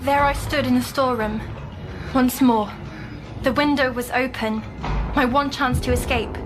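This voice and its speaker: A woman playing a timid young girl in an audio drama, recounting nightmares in a hesitant, halting way. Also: high voice, steady tone, music in the background, close to an everyday voice. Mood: tense, dramatic. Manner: hesitant, halting, breaking off mid-phrase.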